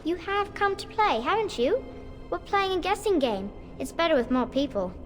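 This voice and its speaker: A woman's voice: extremely high pitch, very animated, normal speed, faint music behind. An actress voicing a playful, stubborn little girl with a breezy, childlike manner, in a fantasy video game with echoing reverb. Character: small girl, playful, stubborn, eerily carefree. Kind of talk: dramatic video game acting. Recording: long echoing hall reverb.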